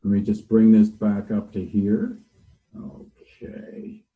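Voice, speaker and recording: A man's voice: on the low side, completely flat delivery, takes it slowly, narrow, tinny audio. A man who is a voiceover artist, chatting casually as a podcast guest in a rambling way with restarts.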